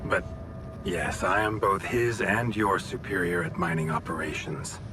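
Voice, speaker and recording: An actor playing a smooth-talking, calculating mining executive in a video game, measured, erudite and condescending, with a show of sympathy. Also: male voice, low-pitched, noisy background. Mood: formal, courteous. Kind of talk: cinematic video game acting. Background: prominent music.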